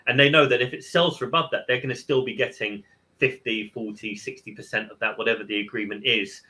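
A man with a bright, forceful voice explains his points on a video call. He talks emphatically in a casual webinar style. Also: medium pitch, steady tone, fast talker, low-quality recording. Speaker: webinar co-host.

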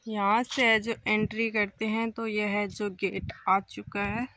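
A woman's voice: medium pitch, flat delivery, unhurried, a bit bright, no echo. A young woman filming herself on a phone at arm's length, chatting casually to viewers as she walks through an old empty house. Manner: chatty, leans on filler phrases, talks to viewers as friends. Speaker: vlogger giving a house tour. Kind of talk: casual walking house tour. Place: old empty brick house, indoors and outdoors. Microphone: phone held at arm's length.

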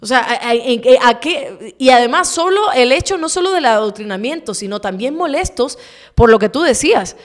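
Ecuadorian woman host in her thirties, high voice, angry emphatic commentary over a desk mic. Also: animated, normal speed. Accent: Ecuadorian Spanish. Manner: indignant, emphatic, swears. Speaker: show host. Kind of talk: heated political commentary. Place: home studio, indoors. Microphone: desk mic on stand, video call.

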